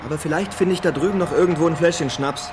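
An actor playing a brisk, obliging gas station attendant in a radio play. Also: male voice, on the high side, background noise, natural voice. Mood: helpful.

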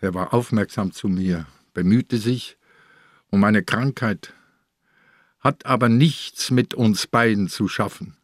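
An actor playing a sick, weak old police commissioner in a radio crime drama, terse and weary but stubbornly defiant. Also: male voice, on the low side, slow, dry recording, studio quality. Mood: guarded, defiant. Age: older.

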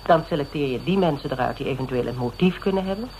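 An actor playing a persistent, polite private detective in a Dutch radio drama, speaking in a measured, explanatory, quietly probing way. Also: male voice, fairly high pitch, lively intonation. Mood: measured, insistent. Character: persistent male private detective questioning a suspect, methodical and polite. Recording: noisy old radio drama recording.

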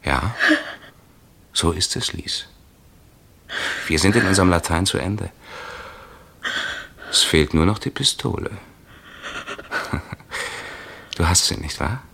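A man's voice: deep voice, animated, slow, gravelly, long pauses, pushed and bright, husky, full character voice. An actor playing a jealous husband who confronts his wife in a radio crime play. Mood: grim. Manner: cold and accusing, then panicked. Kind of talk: radio drama acting.

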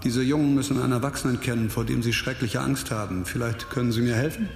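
Actor as earnest investigator with a gravelly edge. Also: male voice, medium pitch, quick pace, close to an everyday voice. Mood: businesslike. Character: male police investigator, earnest, persistent, quietly compassionate. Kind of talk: radio crime drama acting. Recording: studio radio drama, music underneath.

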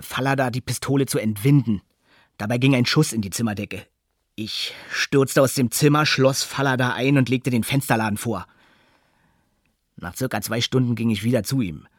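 An actor playing a sober eyewitness who looks after an addict, narrating a radio play in a factual, measured way. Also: male voice, medium pitch, dry recording, clean studio sound, lightly acted.

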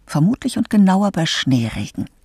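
A man giving wry scripted radio commentary in a husky voice. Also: high-pitched, sing-song, moderate pace, darkish tone, no echo, studio quality.

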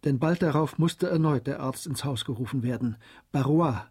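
An actor as the composed storyteller of a German radio drama, narrating a classic novel in a husky voice. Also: male voice, medium pitch, moderate pace, darkish tone, natural voice.

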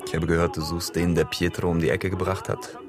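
A man's voice: low voice, level tone, brisk, over music, close to an everyday voice. An actor playing a blunt, gloomy working-class man in a radio crime drama, speaking in terse, dry phrases. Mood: grim, dry. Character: blunt, gloomy working-class male comrade bearing bad news.

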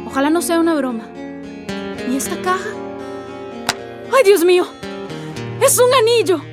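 A woman's voice: very high voice, big pitch swings, moderate pace, music in the background. An actress playing an emotional, overwhelmed young woman in love, in a melodramatic radio drama. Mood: astonished, tearful joy.

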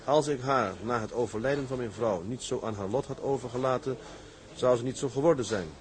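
A man acts out a proud, shrewd sea captain in a period drama. He speaks in a mid-pitched voice, firm and businesslike. He sounds like someone determined to get his own ship and used to pressing his case. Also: brisk, natural voice. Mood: reading aloud. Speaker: actor playing a sea captain. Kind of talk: acted period drama dialogue.

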